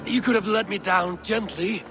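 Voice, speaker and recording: An actor playing a sly, persuasive god in disguise in a fantasy video game. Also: male voice, high voice, normal speed, over music, close to an everyday voice. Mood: wary. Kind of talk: video game cutscene acting. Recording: game audio with ambience.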